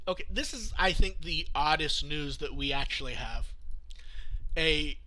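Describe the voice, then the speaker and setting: A man's voice: a little high, lively intonation, a little slow, bright, forceful tone, low-quality recording. A man hosting a podcast, casually talking through news and thinking aloud as he goes. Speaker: podcast host. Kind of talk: casual news discussion among podcast co-hosts.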